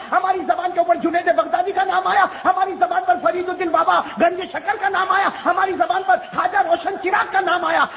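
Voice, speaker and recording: A man's voice: very high-pitched, creaky, a bit bright. A man preaching to a gathering, repeating his phrases and calling out questions for the crowd to answer. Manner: repetitive, rhetorical, calls out questions to the crowd. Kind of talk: religious speech to a gathering. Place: religious gathering. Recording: dull lo-fi recording.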